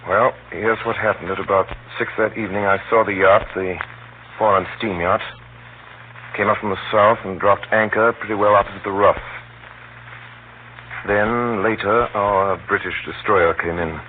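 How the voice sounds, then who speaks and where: Male actor as determined adventurer-narrator, low pitch, confiding, dramatic, 1930s radio play, muffled with hum. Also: fairly even intonation, normal speed, close to an everyday voice. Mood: confiding narration. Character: determined, self-doubting male adventurer narrating his hunt.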